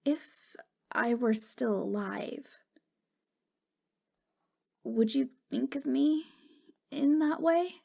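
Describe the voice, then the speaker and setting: A woman performs a long-dead ghost girl in an intimate ASMR drama. The voice is fairly high and creaky, and it moves slowly. She halts and stammers, sounding wistful and fragile. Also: lively intonation, darkish tone, full character voice. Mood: hurt, resigned. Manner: halting, stammering, emotionally fragile.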